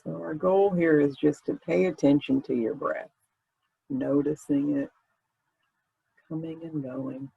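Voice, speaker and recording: Slow, gravelly man guiding meditation. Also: on the high side, steady tone, dry recording, highs cut off. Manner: gentle, informal, unhurried phrasing. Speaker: meditation guide. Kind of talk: guided meditation, conversational instruction.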